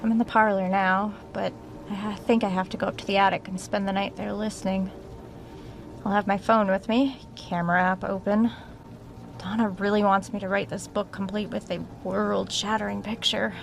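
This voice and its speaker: A woman's voice: medium pitch, little pitch movement, moderate pace, creaky, a little hiss or hum, natural voice. An actress playing an uneasy woman writer investigating a haunted house, conversational, wry and self-doubting, in a horror audio drama.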